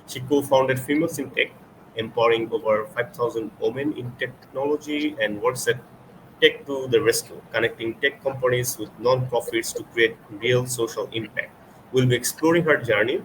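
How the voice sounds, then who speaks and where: A man hosting a webinar and introducing a guest speaker in a halting, hesitant way. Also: mid-range voice, poor audio. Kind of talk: semi-scripted webinar introduction. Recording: noticeable background noise.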